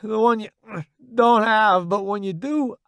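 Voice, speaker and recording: Man acting a strained, high-voiced wounded soldier. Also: unhurried, a bit bright, smooth, no echo. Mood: teasing. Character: wounded young male soldier, pained, cursing, loyal joker.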